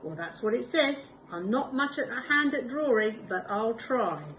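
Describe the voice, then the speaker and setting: A woman voicing a cheerful, literal-minded housekeeper in a read-aloud storybook, speaking slowly and emphatically. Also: on the high side, animated, very noisy, pitched above the performer's own voice, natural voice.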